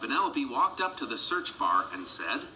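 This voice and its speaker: A man narrating a children's read-along storybook in an animated, dramatic storytelling manner. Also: mid-range voice, moderate pace, slight background noise, poor audio. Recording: music behind the voice.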